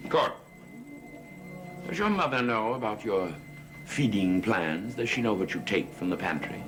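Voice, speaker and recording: An actor in a gothic soap opera playing a controlling husband and stepfather who hides threats behind gentle words. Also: lowish voice, expressive, unhurried. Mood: suspicious, stern. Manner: smooth-tongued, veiled threats. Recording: dramatic music under the dialogue.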